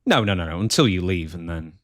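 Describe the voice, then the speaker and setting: A man co-hosting a podcast, chatting casually and prompting his guest with quick, amused questions. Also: low-pitched, animated, a bit bright, no echo, studio quality. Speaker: podcast co-host.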